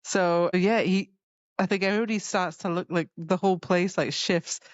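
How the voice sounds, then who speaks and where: Chatty low-voiced woman, slightly muffled. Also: steady tone, moderate pace, no room sound. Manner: chatty, informal, quotes characters loosely. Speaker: podcast co-host. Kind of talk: casual book discussion.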